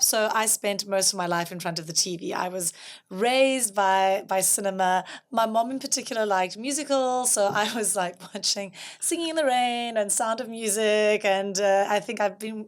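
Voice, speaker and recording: A woman in her forties from South Africa, a film director telling her life story in a relaxed interview with frequent restarts. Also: mid-range voice. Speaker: film director and producer, guest. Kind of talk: casual interview conversation.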